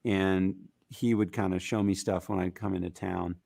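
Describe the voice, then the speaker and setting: A man with a raspy, gritty voice, talking casually as a musician guest in an interview, rambling with fillers. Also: sits low, normal speed, no echo, studio quality. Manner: relaxed, rambling with fillers and restarts.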